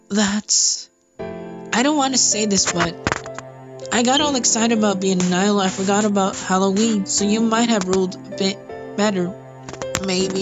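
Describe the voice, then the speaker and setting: A girlish, high-voiced idol singer from a fantasy game, played by a man, brash and eager. She talks in a blunt, informal way over prominent music. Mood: encouraging. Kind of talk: acted fantasy game cutscene reading. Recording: music behind the voice.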